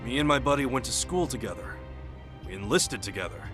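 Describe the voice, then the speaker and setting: Over clearly audible music comes an earnest young American soldier , played by a male actor for a game cutscene. He talks in a plain, colloquial, rough-edged way with a wistful loyalty, in a mid-range male voice. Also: medium pitch, very animated, fairly quick, natural voice. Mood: reminiscing. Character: earnest rough-edged soldier devoted to his missing partner.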